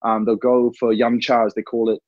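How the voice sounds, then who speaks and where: A man's voice: medium pitch, flat delivery, a little fast, a little mellow, no room sound. Rambling man with a dull lo-fi sound. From Hong Kong. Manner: rambling, many "you know" fillers. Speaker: interview guest. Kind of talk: conversational interview answers.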